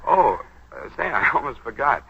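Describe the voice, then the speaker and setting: An actor playing a brash, wry lawyer who schemes and smooth-talks, in 1940s radio drama style. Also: male voice, mid-range voice, very animated, full character voice. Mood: wry, breezy. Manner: wry, fast-witted, smooth-talking. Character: brash, wisecracking young lawyer turned schemer, slick and probing. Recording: dull 1940s radio broadcast recording.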